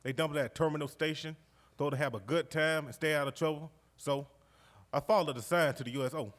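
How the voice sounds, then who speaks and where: An actor playing a jovial, warmhearted World War II veteran from Georgia with a Southern accent, spinning his memories in a folksy, exuberant way on stage. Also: fairly high pitch, brisk, natural voice. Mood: reminiscing, earnest. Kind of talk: live stage storytelling monologue, conversational. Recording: live stage recording in recreation hall.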